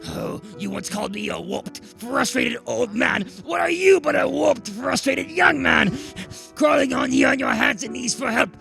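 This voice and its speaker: A man playing a gloating, sneering old miser and banker in a live radio play, taunting in a creaky, strained voice. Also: very high-pitched, normal speed, hardly any pauses, pushed and bright, shouting, soft background music, exaggerated voice. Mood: gloating, scornful.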